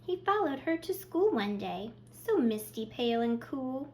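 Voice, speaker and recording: A woman's voice: sits high, big pitch swings, a little slow, mellow, a little hiss or hum, low-quality recording. A woman hosting a children's storytime, reading aloud expressively and speaking to young listeners with simple questions and praise. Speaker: children's librarian, storytime host.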